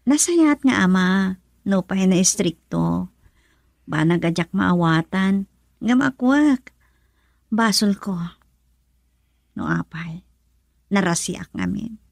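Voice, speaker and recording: A woman's voice: mid-range voice, lively intonation, takes it slowly, creaky, long pauses, a little mellow, no echo. A woman playing a troubled, yearning young wife and nurse in an Ilocano radio drama, speaking emotionally and pleadingly with an Ilocano accent. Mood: wistful, tearful.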